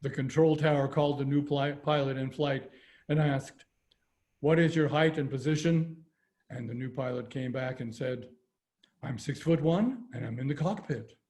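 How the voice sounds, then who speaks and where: A man's voice: mid-range voice, normal speed, darkish tone. A man reading jokes aloud for a community theater over a video call.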